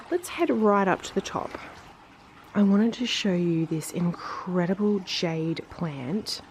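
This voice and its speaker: A woman's voice: lowish voice, darkish tone, slight background noise. A woman with a slightly nasal, congested voice, getting over an illness, giving a chatty, casual garden tour as a vlog host. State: recovering from illness, sinusy. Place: outdoors in a garden.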